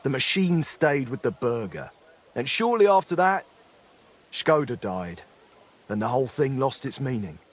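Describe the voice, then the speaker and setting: A middle-aged ex-blacksmith in a game, sorry and earthy, husky voice that swings up and down a lot. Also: male voice, mid-range voice, big pitch swings, a little slow. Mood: rueful, earthy. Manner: earthy, colloquial, apologetic. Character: remorseful middle-aged former blacksmith turned miner, rueful and earthy. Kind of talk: dramatic game dialogue.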